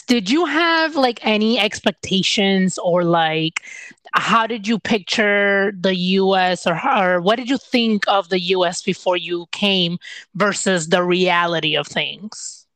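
A woman hosting an interview podcast who talks slowly and unhurriedly. She often reacts to her guest with wows and laughter and uses many fillers. Her voice sounds dry and close. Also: mid-range voice, a bit bright, no room sound. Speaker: podcast host. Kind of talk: casual interview talk.

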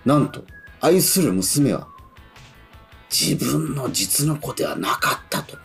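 A man's voice: medium pitch, sing-song, takes it slowly, creaky, a bit bright. A man hosting a radio talk show, chatting politely as a presenter. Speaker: radio show host and actor. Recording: music playing behind the voice.

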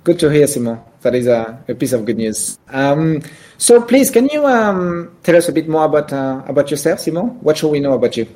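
A man co-hosting a podcast who introduces the guest and puts questions to him in a lively way. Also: fairly high pitch, a little fast. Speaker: podcast co-host.

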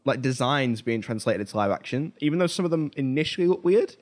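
A man with an average-pitched voice talks casually as a podcast co-host. His explanations ramble and halt, drifting into side points as he works through them. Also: animated, quick pace. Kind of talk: casual chat about films.

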